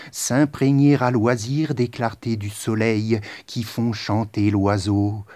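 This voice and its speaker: A man reciting poetry in a solemn, deliberate style, with measured phrasing and dramatic pauses. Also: medium pitch, mellow, no room sound. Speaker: poet reciting.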